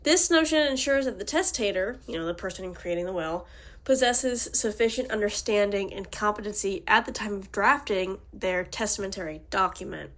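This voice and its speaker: A woman's voice: medium pitch, expressive, moderate pace, fairly bright tone. A woman with a cold and a slightly nasal voice, reading out a prepared class presentation with small slips and restarts. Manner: reads formal text with small slips and restarts. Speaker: student presenter.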